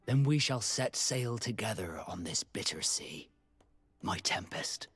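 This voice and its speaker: An actor playing a proud, loyal sea captain with a romantic, guarded streak in a video game, speaking in a husky, measured, formal way. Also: male voice, lowish voice, expressive, fairly bright tone, no echo, full character voice. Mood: tender, wistful.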